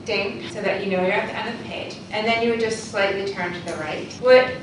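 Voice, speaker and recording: A woman's voice: mid-range voice, brisk. A woman, an occupational therapist, explaining how to use a device in a casual, friendly way with frequent ums. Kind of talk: casual demonstration and Q&A. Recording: echoey room from a distant mic with noise.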